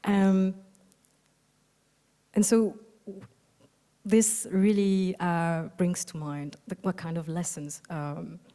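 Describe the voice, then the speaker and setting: A young woman from Algeria delivers a keynote in a soft, thoughtful way, filling her pauses with ums and uhs. Her voice sits a little low and sounds mellow and warm. A long reverb from a large hall hangs behind her words. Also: fairly even intonation. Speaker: architect giving a keynote. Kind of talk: conference keynote talk.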